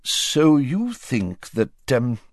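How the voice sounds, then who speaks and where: A man's voice: medium pitch, sing-song, extremely slow, no room sound, clean studio sound, pitched above the performer's own voice, exaggerated voice. A man voicing a harassed, exasperated middle-aged prime minister who splutters and hesitates.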